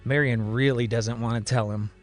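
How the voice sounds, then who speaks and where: A man's voice: medium pitch, fairly even intonation, normal speed, faint music behind. A man narrating a scripted science-fiction serial as a dramatic storyteller.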